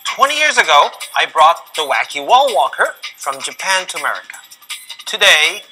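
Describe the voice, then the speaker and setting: Korean-born male host, middle-aged, animated scripted delivery with hand gestures, music bed underneath. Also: on the high side, low-quality recording. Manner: lively, emphatic delivery with sweeping hand gestures. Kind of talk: scripted presenting to camera. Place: large indoor museum gallery.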